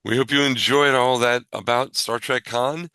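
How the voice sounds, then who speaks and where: A man's voice: medium pitch, steady tone, normal speed, clear, resonant tone, no echo. A man as show announcer, reading a scripted podcast outro. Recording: loud hip hop music underneath. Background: hip hop music.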